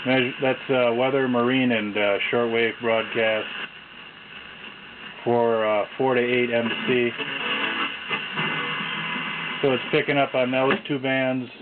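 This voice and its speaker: A man casually demonstrating a vintage radio, reading out frequencies in a halting mutter. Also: mid-range voice, little pitch movement, over loud music. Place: indoors, beside a shortwave radio on a table. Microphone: camera mic, off-screen speaker. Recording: tinny lo-fi camera audio, loud radio static. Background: shortwave radio static and stations.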